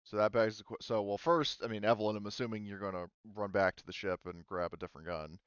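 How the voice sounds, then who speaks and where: A man narrates a tabletop roleplaying session as game master. His voice is on the low side and very smooth, with halting, off-the-cuff phrasing. The recording is close and dry. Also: fairly even intonation, dry recording, dull audio.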